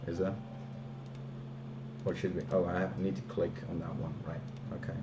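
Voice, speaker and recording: A man from the Flemish part of Belgium, a native Dutch speaker with a Flemish accent, walking through software on a casual live stream and hesitating often with fillers and restarts. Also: deep voice, flat delivery, moderate pace, echoey room, dull audio, very noisy.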